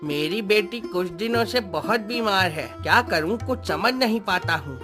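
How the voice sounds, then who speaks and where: A man's voice: high voice, expressive, moderate pace, music in the background. A man voicing a despairing poor woodcutter and worried father in a cartoon story, plaintive in manner. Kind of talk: acted Hindi moral story cartoon dialogue.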